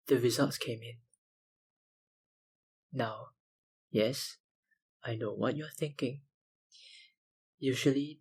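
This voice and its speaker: Man playing a slow, breathy, obsessive vampire. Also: medium pitch. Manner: slow, deliberate, politely insinuating, with sighs and pauses. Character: obsessive, smooth-talking male vampire lab researcher, menacingly polite. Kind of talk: intimate ASMR roleplay monologue.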